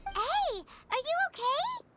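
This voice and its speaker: A woman voicing a small, cheerful fairy companion in a video game, perky and exclamatory, with a high voice. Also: sing-song, slow, full character voice. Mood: concerned. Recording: game music over the voice.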